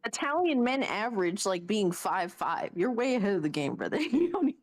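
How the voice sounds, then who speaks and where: A man's voice: high-pitched, sing-song, brisk. A young man telling a rambling story in casual chat, full of fillers and asides.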